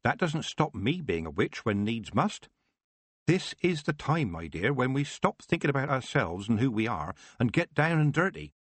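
A man voicing a brisk woman who is a queen and a witch, rallying others firmly. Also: medium pitch, sing-song, slightly rough, no echo, pitched above the performer's own voice.

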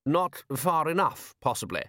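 A man voicing an urbane aristocratic ruler in an audiobook, measured and drily ironic. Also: a little high, very animated, pushed and bright, no room sound, clean studio sound, voice pushed up, heavily acted. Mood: dry irony. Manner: measured, deliberate, drily sardonic.

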